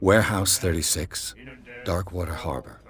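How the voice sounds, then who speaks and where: A man playing a cool, measured private detective who questions people in a video game. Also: deep voice, a bit bright. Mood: measured, curious. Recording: faint ambient noise behind.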